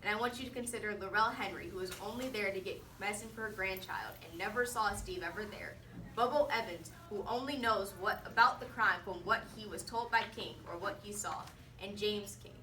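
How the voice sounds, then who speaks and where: Bright-voiced teenage girl speaking in a roomy space. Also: medium pitch, fairly quick. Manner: rhetorical, repeating phrases for emphasis. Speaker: student presenting a mock defense speech. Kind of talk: prepared persuasive speech. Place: indoor classroom. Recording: roomy, distant mic, faint noise.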